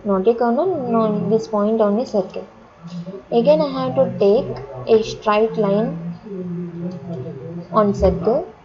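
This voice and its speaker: A woman teaching drafting software step by step, halting and often repeating and rephrasing herself. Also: on the high side. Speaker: software instructor. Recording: dull lo-fi sound, crowd chatter behind.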